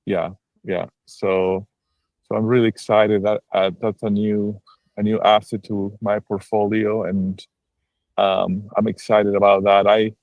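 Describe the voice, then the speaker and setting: A man's voice: fairly low pitch, level tone, a little slow, no room sound, dull audio. A man from Argentina, a real estate broker, talking on a livestream video call, hesitant with many restarts and fillers. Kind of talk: casual livestream call-in chat.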